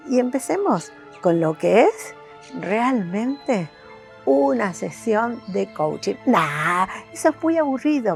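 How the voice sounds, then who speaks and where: A woman's voice: mid-range voice, a little mellow. A woman with a Buenos Aires accent hosts as a life coach, chatting casually and directly to the viewer in a rambling way with questions thrown in. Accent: Argentine, Buenos Aires. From Argentina, Buenos Aires. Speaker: life coach and video host. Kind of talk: casual chat to camera.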